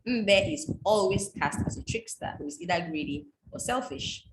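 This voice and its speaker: A woman from Nigeria, reading a prepared talk on folktales in a measured, explanatory way. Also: mid-range voice, expressive. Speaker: writer presenting on folktales. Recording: echoey room with background noise.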